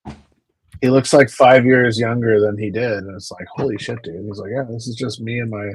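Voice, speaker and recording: A man's voice: mid-range voice, fairly even intonation, brisk, slightly rough, low-quality recording. A man chatting on a video call, rambling into stories and repeating words as he goes. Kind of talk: casual chat.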